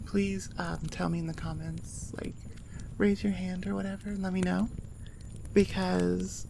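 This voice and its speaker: Woman rambling to her listeners, slow n casual, bit of gravelly fry, noticeable background noise. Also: lowish voice, level tone. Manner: informal, self-deprecating, rambling, with swearing. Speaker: ASMR audio creator and host.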